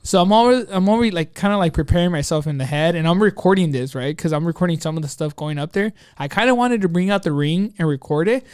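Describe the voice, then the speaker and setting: A man with a fairly high, smooth voice tells an anecdote on a casual podcast. He rambles excitably in slang, acting out his own asides, in a very dry, close space. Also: fast talker, few pauses, no room sound. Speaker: podcast co-host telling a personal story. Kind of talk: casual chat, anecdote storytelling.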